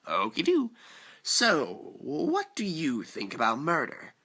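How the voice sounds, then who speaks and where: A man's voice: a little high, huge pitch swings, slow pace, sharp tone, husky, exaggerated voice. A man voicing a polite, cheerful door-to-door survey taker in a comic dub. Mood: chirpy, polite.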